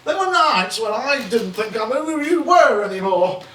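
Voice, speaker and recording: Actor as high-pitched, pleading husband. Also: male voice, sing-song, moderate pace, pushed and bright, heavily acted. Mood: hurt, accusing. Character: comedienne's husband, weary, hurt, pleading and loving. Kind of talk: theatrical stage acting. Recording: very echoey theatre, distant mic.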